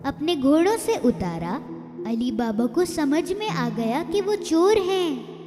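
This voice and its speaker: A woman narrating a moral story in an expressive, sing-song storytelling manner. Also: sits high, slow. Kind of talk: scripted narration of a moral tale. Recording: music bed, long reverb.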